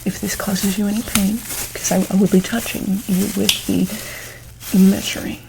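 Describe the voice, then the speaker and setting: Female, soft ASMR medic roleplay, unhurried and even-toned, gentle and hesitant, noticeable background noise. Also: medium pitch. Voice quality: soft, breathy, often near whisper. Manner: hesitant, gentle, pausing often mid-sentence. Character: calm, attentive female medic examining a monster patient. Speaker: ASMR performer playing a monster hospital medic.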